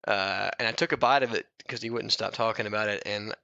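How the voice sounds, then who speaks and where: A man's voice: on the low side, fairly even intonation, brisk, gravelly, pushed and bright, clear, resonant tone, no room sound. A man co-hosting a podcast in casual banter, talking in a slangy, blunt, joking way, on a slightly dull recording. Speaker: podcast co-host.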